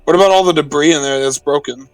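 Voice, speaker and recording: A man's voice: fairly high pitch, fast talker, no room sound, recorded from a distance, faint music behind, low-quality recording. A man playing a tabletop game, chatting casually about what his character does.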